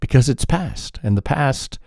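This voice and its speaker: A man hosting a solo podcast, talking in a calm, measured, reflective way, sometimes pausing or correcting himself mid-sentence. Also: mid-range voice, vocal fry, no echo, clean studio sound. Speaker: podcast host.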